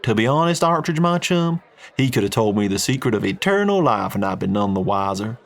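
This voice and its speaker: A man's voice: lowish voice, sing-song, fairly quick, vocal fry. A man doing a brash oilman. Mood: loud, jovial. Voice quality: folksy drawl, tobacco-spitting. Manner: loud, plain-spoken, boastful. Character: brash Texan oil tycoon, middle-aged man, blunt.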